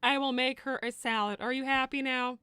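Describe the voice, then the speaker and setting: Woman plays cartoon fox mother: high voice, doting, naive, stubborn and chatty, recorded very dry. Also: fairly even intonation, brisk, bright, forceful tone, clean tone, no room sound. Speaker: character on a live roleplay show. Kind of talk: improvised in-character livestream chat.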